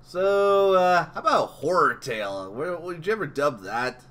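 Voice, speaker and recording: A man chatting casually as an interviewer, animated and halting in places. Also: a little high. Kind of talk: casual fan chat.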